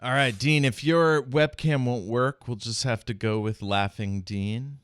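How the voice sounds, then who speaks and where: A man chatting casually among friends, explaining things in a measured way. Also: mid-range voice, clean tone, dry recording, clean studio sound. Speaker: player. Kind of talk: casual chat.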